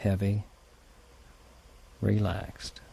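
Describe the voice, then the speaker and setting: A man leading a guided relaxation exercise as a therapist, in a soothing, unhurried, gently instructing manner. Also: lowish voice, completely flat delivery, vocal fry, slight background noise.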